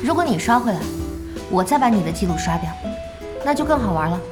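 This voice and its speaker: An actress playing a calm, competitive young female gamer in an audio drama, brief and lightly playful. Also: medium pitch, quick pace, over music, natural voice. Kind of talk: Chinese audio drama acting.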